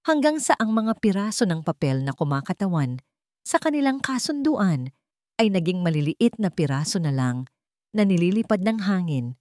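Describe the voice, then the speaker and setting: A woman's voice: fairly low pitch, a little mellow, no room sound, clean studio sound. A woman reading a scripted romance story as a dramatic narrator.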